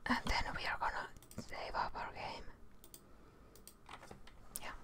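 A young woman whispering ASMR commentary over a video game, halting as she muses to herself. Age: young adult. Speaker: gaming ASMR host.

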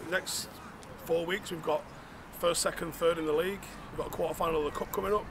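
A man's voice: a little high, steady tone, fast. A man, speaking emphatically and bluntly as a football coach. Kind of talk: team talk and interview, swearing. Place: changing room and outdoor pitch. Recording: clear background noise.

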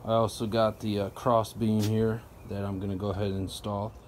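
A man casually explaining a tool setup while working with his hands, chatty and relaxed with plenty of ums. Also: lowish voice, fairly quick, slight background noise. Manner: relaxed, chatty, lots of ums and you-knows. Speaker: product reviewer. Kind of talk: casual how-to narration.

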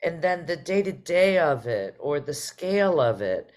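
A woman's voice: low voice, sing-song, unhurried, a little mellow. A woman moderating a casual panel conversation over a video call. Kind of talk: casual interview chat.

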